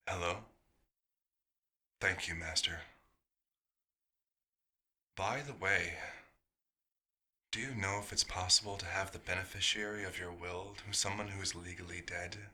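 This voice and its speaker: A man's voice: deep voice, fairly even intonation, measured pace, long pauses, bright, forceful tone, exaggerated voice. A man playing a bewildered, hungry vampire doctor turned human, speaking formally and hesitantly in an audio roleplay. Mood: earnest, polite.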